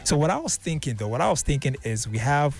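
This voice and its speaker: A man's voice: a little high, sing-song, fast talker, vocal fry, music in the background. A man hosting a casual radio interview, laid-back and chatty, often repeating his words and filling with "you know". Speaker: radio show host.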